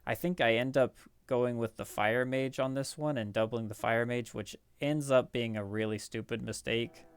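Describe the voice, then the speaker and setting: A man's voice: medium pitch, fairly even intonation, moderate pace, smooth. A man casually commentating on his gameplay, talking through strategy in a hesitant, rambling way. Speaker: gaming video host. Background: background music.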